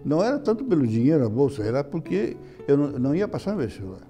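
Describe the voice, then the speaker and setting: An older man answering interview questions in a halting way, often repeating his words and chuckling. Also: on the high side, very animated, mellow, soft background music. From Brazil. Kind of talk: reminiscing interview talk. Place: indoor room beside an altar.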